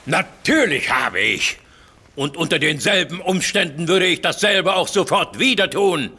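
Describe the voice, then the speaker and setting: Blustering general, audio drama, bright lively voice, slightly husky. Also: male voice, fairly high pitch, unhurried, full character voice. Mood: indignant. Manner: pompous, stumbles over words. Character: blustering militaristic male army general. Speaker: voice actor.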